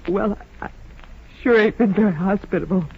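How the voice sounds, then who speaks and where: A sad polite country wife, american, halting n breathy, old lo-fi radio. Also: female voice, mid-range voice. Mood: grieving, tearful. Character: grieving, polite young married country woman. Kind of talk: 1940s radio western acting. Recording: muffled old radio recording.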